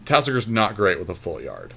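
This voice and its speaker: A man giving casual commentary on a card game as he plays, thinking aloud in an unhurried way. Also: on the low side, wildly swooping pitch, creaky, sharp tone, slight background noise. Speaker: podcast host and player.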